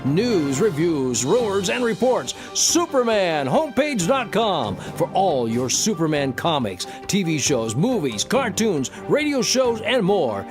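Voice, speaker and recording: An animated announcer reads a scripted promo. The pitch moves widely, and music plays clearly behind. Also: measured pace, hardly any pauses.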